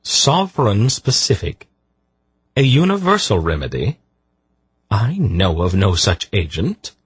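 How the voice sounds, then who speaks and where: A man's voice: lowish voice, sing-song, a little slow, slightly rough, dry recording, pitched above the performer's own voice, full character voice. A man narrating an audiobook voices a grave, decisive woman sorceress who talks firmly and precisely. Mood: puzzled. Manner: firm, urgent, precise.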